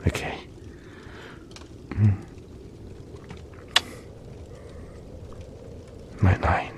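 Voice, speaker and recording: A man voicing a gentle, cuddly young yeti in a soft-spoken, hesitant, soothing roleplay addressed to the listener. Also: slow pace, slight background noise, close to an everyday voice. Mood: tender, sleepy.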